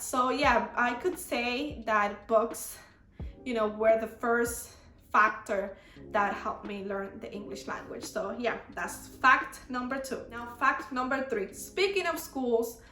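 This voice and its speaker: A young Dominican woman with a Spanish-accented English voice, bright and a little high, rambling along with lots of fillers. She sounds like she is in an echoey room. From Dominican Republic. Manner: rambling, many fillers like "like" and "you know". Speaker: YouTuber. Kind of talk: casual chat to camera.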